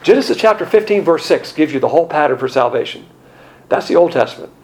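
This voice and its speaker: A middle-aged male pastor delivering a sermon in a gravelly, somewhat high voice. He preaches in an animated, conversational way, full of colloquial asides. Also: quick pace.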